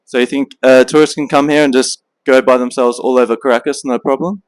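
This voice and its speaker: A man's voice: medium pitch, steady tone, a little fast, no echo. Man asking street interview questions. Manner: asks short, repeated questions with fillers. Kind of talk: street vox-pop interview.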